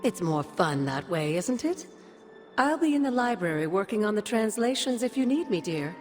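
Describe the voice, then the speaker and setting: A woman playing the ghost of an old witch mentor in a video game, doting, cryptic and teasing, in an unhurried way. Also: mid-range voice, big pitch swings, lightly acted. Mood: fond, teasing. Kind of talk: video game cutscene acting. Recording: music behind the voice.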